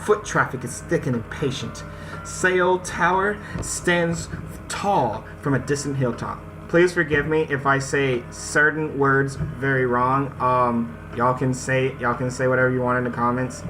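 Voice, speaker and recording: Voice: medium pitch, unhurried, low-quality recording. Game host reading aloud over music. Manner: reads text aloud, stumbles on words, addresses viewers directly. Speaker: gaming video host reading a visual novel aloud. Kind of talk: let's-play narration with casual asides. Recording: music behind the voice. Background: visual novel game music.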